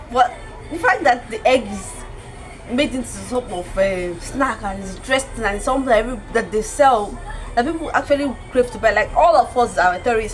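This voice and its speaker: A woman's voice: a little high, low-quality recording. A young Nigerian woman with a Nigerian accent, chatting casually to camera as a travel vlogger and laughing often as she talks.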